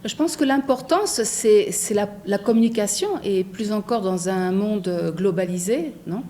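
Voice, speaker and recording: A middle-aged woman from France, a translator, answering interview questions thoughtfully with many pauses and a habit of asking "non?". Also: mid-range voice, dark tone, slight background noise. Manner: thoughtful, many fillers, frequent tag "non?".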